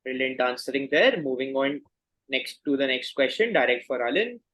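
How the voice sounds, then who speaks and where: A man hosting a quiz, reading questions and announcing results in a courteous, formal, measured way. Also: medium pitch, animated, sharp tone.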